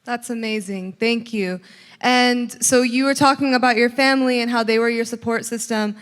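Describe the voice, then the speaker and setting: A woman's voice: fairly high pitch, fairly even intonation, moderate pace, fairly bright tone, clean tone. A young woman, a student interviewer, asking prepared questions in an upbeat way. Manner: upbeat, laughs between questions. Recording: long hall reverb.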